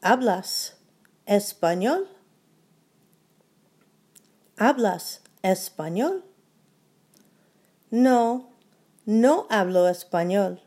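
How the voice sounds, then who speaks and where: Woman language teacher, very slow and deliberate, lively melodic rises, each phrase repeated three times for learners. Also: medium pitch.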